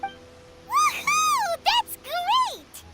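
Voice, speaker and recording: A woman voicing a tiny, excitable, childlike fairy companion with a squeaky voice in a video game. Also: drawn-out words, loud music behind the voice, heavily acted. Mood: excited. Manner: refers to self in third person, exclamatory.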